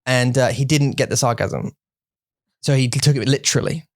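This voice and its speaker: A man's voice: mid-range voice, fairly even intonation, moderate pace, no room sound, studio quality. A man with an English accent co-hosting a podcast, chatting casually with sarcastic banter. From England. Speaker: podcast co-host. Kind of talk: casual bilingual chat.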